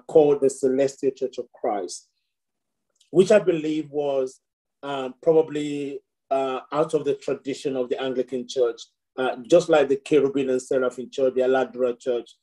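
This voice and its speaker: A middle-aged man from Nigeria, a priest talking over a video call from a room at home. His voice is mellow and relaxed, and he talks in a reflective way, often pausing and restarting. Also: mid-range voice. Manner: reflective, with frequent fillers and restarts. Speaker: priest and guest.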